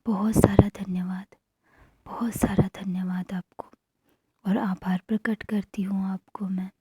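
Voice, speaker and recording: A woman narrating guided meditation affirmations in a hushed manner, pausing between short phrases. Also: medium pitch, little pitch movement, heavy background noise.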